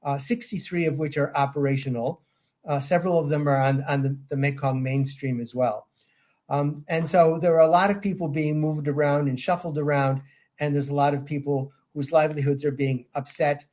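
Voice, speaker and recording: An older man answering interview questions in a measured way, with frequent ums and restarts. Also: a little high, fairly even intonation, darkish tone. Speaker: guest, safeguards auditor.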